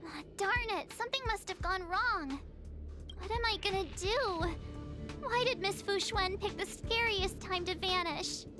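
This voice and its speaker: Very high-voiced perky teenage girl. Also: a little slow. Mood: alarmed. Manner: chatty, expressive, informal. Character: perky, easily flustered teenage girl adventurer. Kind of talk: acted video game dialogue. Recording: reverberant, with music behind.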